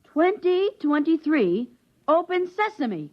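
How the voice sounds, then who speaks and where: A woman's voice: high-pitched, sing-song, slow pace, heavy background noise, full character voice. An actress playing a curious, stubborn thirteen-year-old boy with a boyish, eager manner, in an old radio drama. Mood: eager, cheeky. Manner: boyish, eager and wide-eyed. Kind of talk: 1950s radio drama acting. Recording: muffled 1950s radio broadcast.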